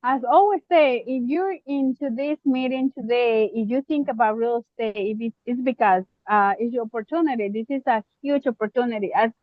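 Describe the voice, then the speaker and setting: High-voiced woman with a Mexican accent. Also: no echo, dull audio. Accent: Mexican Spanish. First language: Spanish. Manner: halting, searching for words, many fillers. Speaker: real estate investor guest. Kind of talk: casual online meeting chat.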